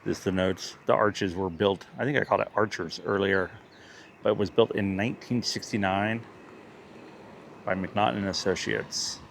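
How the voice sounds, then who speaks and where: A man's voice: fairly low pitch, fairly even intonation, moderate pace, vocal fry, a little hiss or hum. Chatty man giving a casual neighborhood tour. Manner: chatty, jokey, self-correcting. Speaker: vlogger, tour guide. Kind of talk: casual touring commentary. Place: outdoors in a residential neighborhood.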